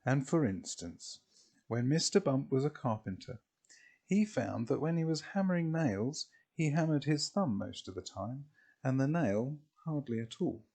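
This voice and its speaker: A man reading a children's storybook expressively, in a deliberate way with drawn-out phrases. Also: mid-range voice, lively intonation, darkish tone, husky.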